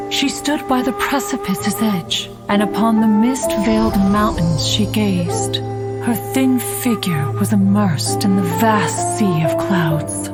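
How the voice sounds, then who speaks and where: The wise old guardian spirit of a sacred peak in a fantasy game, unhurried, lofty and archaic over a clear orchestral bed, acted by a woman. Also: medium pitch, natural voice. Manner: stately, archaic, measured, calls self "one". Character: stately ancient female immortal mountain spirit, wise, proud. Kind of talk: anime video game voice acting. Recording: loud background music.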